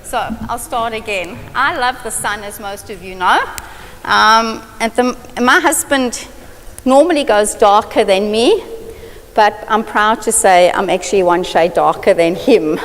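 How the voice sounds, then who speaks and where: A woman's voice: mid-range voice, measured pace. A woman pastor with a South African accent, telling personal stories in a chatty, anecdotal sermon. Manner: chatty, anecdotal, self-deprecating. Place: church sanctuary. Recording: reverberant church hall.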